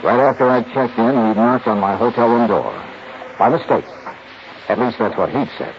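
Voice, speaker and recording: An American actor playing a tough, wry insurance investigator in a 1950s radio detective drama, clipped and hard-boiled. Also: male voice, mid-range voice, animated, normal speed, a little hiss or hum, lightly acted. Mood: dry, wry. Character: tough, wry male insurance investigator narrating his case. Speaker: radio actor in the lead role. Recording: muffled 1950s radio recording with music.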